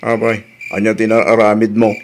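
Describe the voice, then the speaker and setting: A man's voice: lowish voice, monotone, normal speed, darkish tone, slight background noise, close to an everyday voice. Man playing a grumbling older Ilocano husband. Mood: grumbling, impatient. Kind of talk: radio comedy drama acting.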